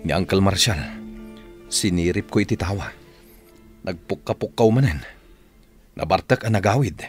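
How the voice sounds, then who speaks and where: A man's voice: sits low, normal speed, faint music behind, natural voice. A Filipino man playing an aggrieved, impatient village family man in a radio drama. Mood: resentful, simmering.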